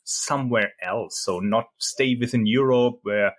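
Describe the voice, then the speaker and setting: A man's voice: mid-range voice, measured pace. A middle-aged man from Germany, a German native speaker, talking as an interview guest in a hesitant way with many fillers and restarts. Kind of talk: casual interview chat.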